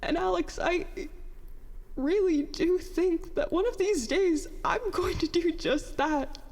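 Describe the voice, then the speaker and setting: A woman playing a bitter, worn-out young inventor in a confessional audio drama monologue, halting, self-deprecating and emotional. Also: extremely high pitch, darkish tone, exaggerated voice. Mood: tearful, anguished outburst. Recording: long hall-like reverb on voice.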